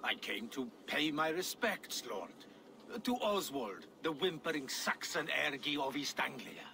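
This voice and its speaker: An actor playing a defiant captured Viking raider in a video game, with a gravelly voice and a mocking, insolent delivery. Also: male voice, on the high side, animated, measured pace, pushed and bright, a little hiss or hum, exaggerated voice. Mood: mocking defiance. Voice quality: gravelly, creaky. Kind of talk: dramatic video game acting.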